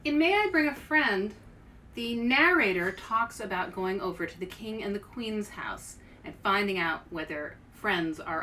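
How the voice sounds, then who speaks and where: Woman, read-aloud host, higher voice, emphatic storybook delivery, distant mic, faint background noise. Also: pushed and bright. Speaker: host and author reading picture books aloud. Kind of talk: read-aloud for children with asides to viewers.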